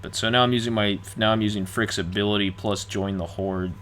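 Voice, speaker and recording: A young man co-hosting a board game show, chatting in a relaxed way with fillers and light laughs. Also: fairly low pitch, a little fast, slight background noise. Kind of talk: casual game commentary.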